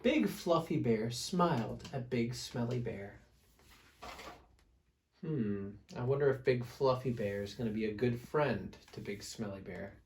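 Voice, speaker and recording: A man's voice: mid-range voice, lively intonation, darkish tone, slight background noise. A man reading a picture book aloud to children as a storyteller, with dramatic emphasis. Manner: dramatic emphasis, pauses to prompt listeners.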